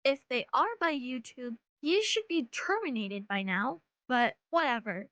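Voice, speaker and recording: A woman's voice: high voice, expressive, unhurried, fairly bright tone, no room sound. A woman delivering rant commentary in a sarcastic, blunt way with swearing. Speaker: video host, commentary creator.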